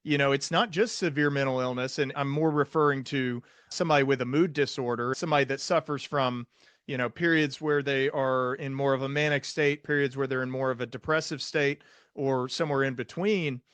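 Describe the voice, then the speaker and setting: A man's voice: mid-range voice, steady tone, fairly quick, a bit bright, smooth, no echo. A man with an American accent hosting a podcast interview in a conversational style. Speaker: podcast host, psychiatrist.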